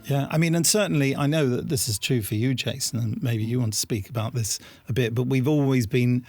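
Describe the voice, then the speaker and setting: A man with a gravelly, creaky voice of medium pitch speaks in a round-table interview as a producer. The sound is dry and close. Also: brisk, darkish tone, no echo, soft background music. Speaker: producer at an audio drama company.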